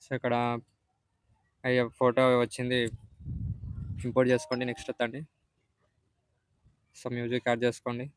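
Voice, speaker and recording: A man's voice: mid-range voice, completely flat delivery, moderate pace, pauses often, dry recording. A man with a Telugu Indian accent giving a step-by-step app tutorial voice-over as the host. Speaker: video editing tutorial host.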